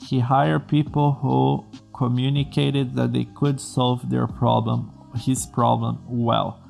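A man's voice: mid-range voice, vocal fry, darkish tone. A man from Brazil, a non-native English speaker, giving earnest career advice to programmers in a vlog. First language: Portuguese. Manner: earnest, explains in plain steps with restarts. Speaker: coding school host.

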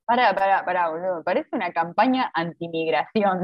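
A woman with an Argentine accent co-hosting a casual interview, playful and teasing with quick interjections. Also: lowish voice, animated, no room sound. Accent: Argentine Spanish. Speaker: co-host. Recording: dull lo-fi sound.

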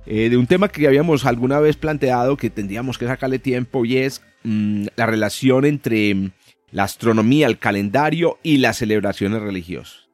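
A man's voice: medium pitch, normal speed, no room sound, faint music behind. Paisa-accented Colombian man hosting. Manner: lively, frequent fillers and restarts. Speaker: podcast host and astronomy professor. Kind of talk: casual conversational podcast.

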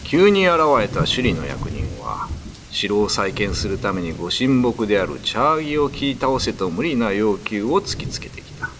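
A man's voice: fairly low pitch, very animated, normal speed, background noise. Grave male ghost story narrator. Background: music.

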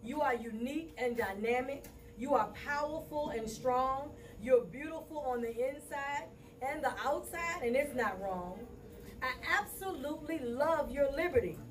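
A woman's voice: high voice, measured pace, recorded from a distance. A woman performing spoken word poetry live, in a rhythmic, rhyming recitation.

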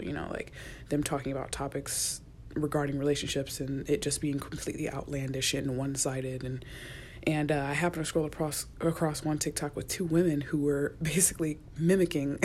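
A young woman, a college student, rambling in a casual solo podcast, chatty and often dropping to a near whisper, recorded in a quiet university office. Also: deep voice, a little hiss or hum. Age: young adult. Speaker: podcast host.

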